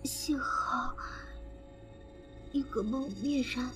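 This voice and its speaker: An actress voicing a wounded young woman on the run, talking in a weak, halting whisper in an audio drama. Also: sits high, steady tone, over music. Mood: weak, pleading. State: weak and injured, breathy.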